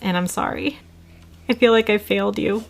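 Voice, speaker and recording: A middle-aged woman hosting a podcast, talking candidly and gently with her daughter, apologetic and coaxing. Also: medium pitch, fairly even intonation, moderate pace, vocal fry. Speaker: podcast host and mother.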